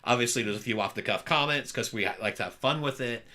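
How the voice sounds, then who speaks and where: A man hosting a podcast interview, talking in an energetic, upbeat way with a loud voice. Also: mid-range voice, fast talker. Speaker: podcast host. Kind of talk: casual interview chat.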